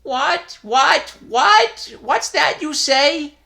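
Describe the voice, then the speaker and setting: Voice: medium pitch, big pitch swings, measured pace, fairly bright tone, voice pushed up. A reader voicing a fussy old male temple priest in a raised, exclaiming voice. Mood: startled.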